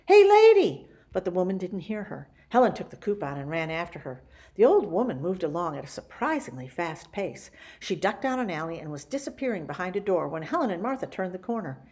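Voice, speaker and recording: A woman reading a children's picture book as a story-time host, with theatrical, deliberate emphasis. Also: lowish voice, animated, a little mellow. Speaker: library story-time reader.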